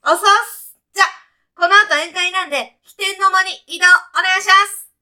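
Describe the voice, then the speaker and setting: A woman's voice: very high voice, fairly even intonation, brisk, sharp tone, heavily acted. A woman playing a brash, breezy guide to heaven in a comic radio sketch, talking in punchy, clipped, casual slang like a sporty junior employee. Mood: upbeat, brash.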